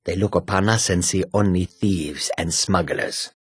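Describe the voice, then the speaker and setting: Male cat-folk merchant, raspy, courteous, formal, fantasy game character, close dry sound. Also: low-pitched, no echo, full character voice. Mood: weary, grumbling. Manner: measured, formal, archaic phrasing. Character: male cat-folk caravan trader, courteous, wary, homesick.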